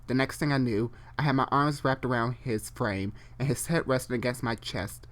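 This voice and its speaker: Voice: medium pitch, steady tone, a bit bright. A person reading fan fiction aloud live, stumbling and repeating phrases, and breaking off for chatty, informal asides. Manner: halting, repeats and corrects phrases while reading. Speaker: online storyteller.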